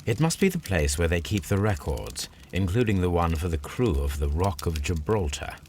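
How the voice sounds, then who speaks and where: An actor playing a keen, confident middle-aged British gentleman detective in a video game, speaking formally and precisely. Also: sits low, sing-song, normal speed, a little hiss or hum. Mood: musing. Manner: precise, formal, articulate, self-assured. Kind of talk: video game cutscene acting, deductive monologue.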